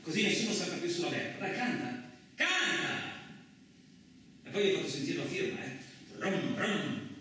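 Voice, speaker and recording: A man's voice: high voice, big pitch swings, moderate pace, pushed and bright, heavily acted. A man playing a boastful, hot-tempered truck driver, in a colloquial theatrical radio monologue full of muttered asides. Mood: taunting, menacing. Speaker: actor. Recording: very echoey, distant, lightly noisy room.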